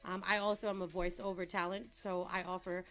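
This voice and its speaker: A woman's voice: lowish voice, flat delivery, fairly quick, fairly bright tone. A young adult woman from Trinidad, a guest entrepreneur in a casual interview, talking in an upbeat, chatty way and laughing easily.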